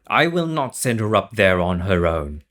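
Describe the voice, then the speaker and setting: A man's voice: on the low side, sing-song, moderate pace, heavy background noise. A man acting a haughty, protective young nobleman in an audio drama, making imperious, impatient demands. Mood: stern.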